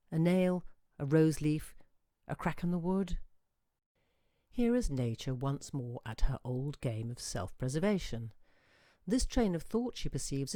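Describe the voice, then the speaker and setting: A woman reading a literary short story as a storyteller, with measured, expressive phrasing and deliberate pauses. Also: sits low, no room sound. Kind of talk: dramatic literary narration.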